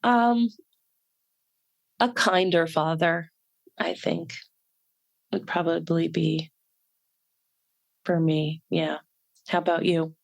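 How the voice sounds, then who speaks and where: A woman's voice: lowish voice, steady tone, takes it slowly, creaky, pauses often. A woman giving short answers and reading out questions in a casual conversation. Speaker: conversation partner.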